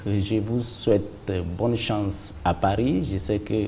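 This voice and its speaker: A man's voice: on the low side, steady tone, slow, darkish tone, a little hiss or hum. A man in his thirties with a Cameroonian accent, a television journalist asking formal questions with frequent fillers, recorded on a lapel mic in a formal room. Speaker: TV journalist interviewer. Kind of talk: formal broadcast interview. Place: formal reception room indoors.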